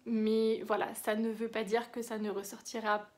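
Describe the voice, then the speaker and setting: A woman's voice: fairly high pitch, little pitch movement, moderate pace. A woman giving a casual makeup tutorial, chatty and explanatory, often correcting herself as she goes. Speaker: beauty vlogger.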